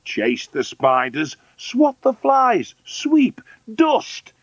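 A man's voice: medium pitch, big pitch swings, moderate pace, a bit bright, no room sound, highs cut off, pitched above the performer's own voice. A man voicing a haughty, bossy adoptive mother in a children's storybook, snappish and indignant.

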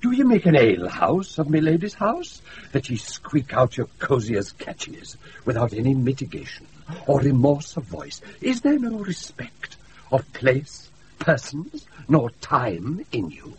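An actor playing a pompous, scolding, puritanical steward with a British accent in a Shakespeare radio play, taped off the air. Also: male voice, mid-range voice, sing-song, measured pace. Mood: indignant, scolding. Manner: pompous, indignant. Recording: hissy off-air radio recording.

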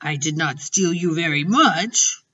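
Storybook giant, ancient, gentle, proud, childlike played by a woman; forceful, drawn-out, garbled grammar, slightly dull distant recording. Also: low-pitched, animated, breathy edge, full character voice. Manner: mangled grammar and invented words.

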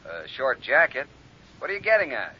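An actor playing a brisk police sergeant heard over a telephone, in 1950s radio style. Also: male voice, mid-range voice, huge pitch swings. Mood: puzzled. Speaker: supporting actor in a radio detective serial. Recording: muffled, noisy old radio broadcast.

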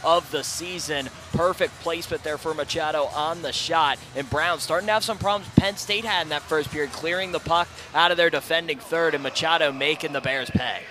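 A man giving relaxed, analytical hockey color commentary from an arena booth on a headset mic, often opening with "yeah". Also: a little high, a little fast, few pauses, music in the background.

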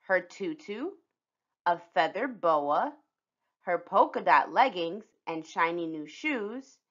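Bright-voiced woman reading a storybook, very animated. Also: fairly low pitch, slow pace, highs cut off. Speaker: children's picture book reader. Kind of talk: scripted storybook read-aloud.

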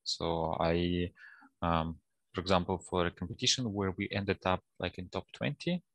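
Russian guy in an interview, low creaky voice, kinda halting, dry close headset sound. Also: fairly even intonation, a bit bright, no room sound, low-quality recording. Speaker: guest, data science professional. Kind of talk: casual interview talk. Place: office, indoors, on a video call.

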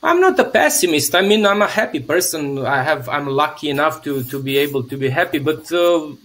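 A man from Serbia, in his thirties, speaks over a video call from a small room at home. His voice sits a bit high for a man, and he wanders through his thoughts with restarts and small laughs. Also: animated, brisk, a bit bright, slight background noise. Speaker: guest, theatre artist. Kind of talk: casual conversation. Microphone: video call webcam.

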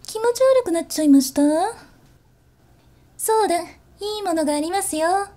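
A woman voicing a politely teasing zombie bunny flight attendant in a Japanese ASMR voice drama, soft and formal. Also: extremely high pitch, expressive, moderate pace.

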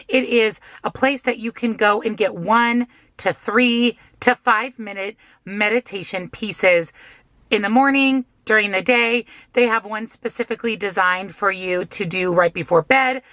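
Hoarse woman chatting in a parked car. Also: mid-range voice, fairly bright tone, dry recording. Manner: chatty, upbeat, emphatic. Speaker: weight-loss vlogger. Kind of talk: casual vlog chat to camera. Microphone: phone camera at arm's length.

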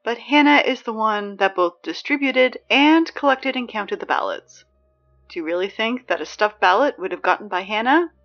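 A woman playing a sarcastic, exasperated high school announcer in a scripted audio drama, dry and emphatic in delivery. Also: animated, moderate pace, highs cut off, faint music behind. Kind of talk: scripted fiction podcast, PA announcements.

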